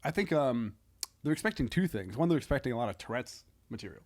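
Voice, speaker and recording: A man talking casually as a comedian guest on a podcast, halting and self-deprecating. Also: medium pitch, big pitch swings.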